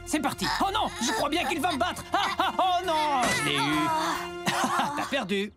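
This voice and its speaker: An actor playing a cocky, bossy young rescuer and eldest brother in a children's cartoon, smug and teasing. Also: male voice, very high-pitched, animated, unhurried, over loud music. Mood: teasing, mocking. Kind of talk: French-dubbed children's cartoon acting.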